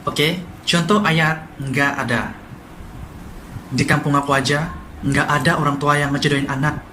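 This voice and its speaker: A man from Sabah with a Sabah Malay accent, explaining language differences in a quick, lively vlog style and mixing in English words. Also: fairly high pitch. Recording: echoey room with faint noise.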